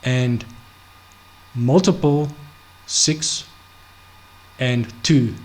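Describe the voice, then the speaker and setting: A man teaching a Bible study off the cuff, halting, full of restarts, fillers and "okay?" check-ins. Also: medium pitch, darkish tone. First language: not Hebrew. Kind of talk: unscripted screen-share Bible study.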